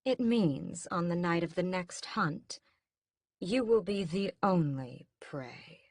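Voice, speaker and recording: A woman's voice: on the low side, a little slow, creaky, dry recording, clean studio sound. A woman voicing a haughty, scheming vampire queen mother in an audiobook, with a cool, mocking drawl. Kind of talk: audiobook character voice.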